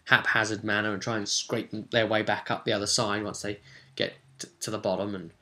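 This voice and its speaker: A man's voice: fairly low pitch, little pitch movement, brisk, bright, forceful tone. A man giving a casual, unscripted game master's recap, telling it in a rambling, enthusiastic way and often correcting himself.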